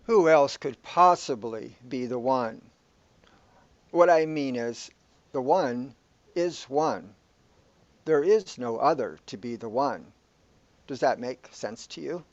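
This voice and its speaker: An actor playing a calm, cryptic, all-knowing guide, measured and matter-of-fact, in a sci-fi radio drama. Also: male voice, mid-range voice, no echo. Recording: dry, dull lo-fi audio.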